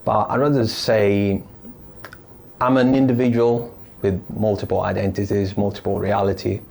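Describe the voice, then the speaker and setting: Deep, mellow-voiced man from Italy. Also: little pitch movement, vocal fry, slight background noise. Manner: thoughtful, halting, many restarts and fillers. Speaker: podcast guest. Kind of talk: casual interview storytelling.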